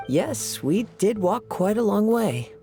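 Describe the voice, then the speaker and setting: A man voicing a calm, courteous, considerate young swordsman in a video game, soft-spoken and composed. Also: high-pitched, slow pace, faint music behind, full character voice.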